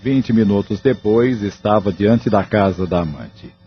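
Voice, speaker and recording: A man's voice: lowish voice, unhurried, a little hiss or hum. A man reading scripted, dramatic narration for an audio novel. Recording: muffled audio drama recording with music.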